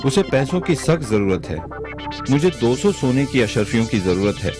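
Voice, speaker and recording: Man acting kind honest lender, gentle story-dub delivery, animated intonation, clear music bed. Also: mid-range voice, moderate pace, natural voice. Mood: earnest. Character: kind, honest male moneylender, loyal and earnest. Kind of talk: animated moral-story dubbing.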